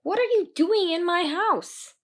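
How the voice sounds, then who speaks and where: A woman's voice: very high voice, measured pace, a bit bright, voice pushed up, full character voice. A woman voicing an angry little male goblin, eerie and challenging, in a children's storybook.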